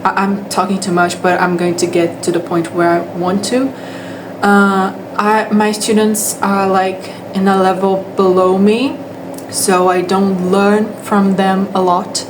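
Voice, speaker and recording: A woman's voice: mid-range voice, little pitch movement, a little slow, noisy background. A young Brazilian woman, a native Portuguese speaker, talking in English with a Brazilian accent in a hesitant, casual monologue full of restarts. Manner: hesitant, with frequent restarts and fillers. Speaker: English teacher.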